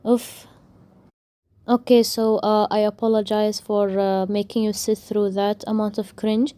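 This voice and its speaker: Rambling woman with a mellow voice. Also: mid-range voice, level tone, unhurried. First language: Arabic. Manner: rambling, frequent restarts and sarcastic asides. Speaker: book vlogger. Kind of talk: casual book rant.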